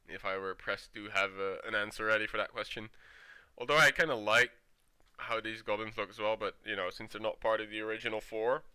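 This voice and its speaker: A young man from the Netherlands with a Dutch accent, chatting casually as a streamer while he plays, relaxed and laughing often. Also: fairly low pitch, clean tone. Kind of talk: casual gameplay commentary.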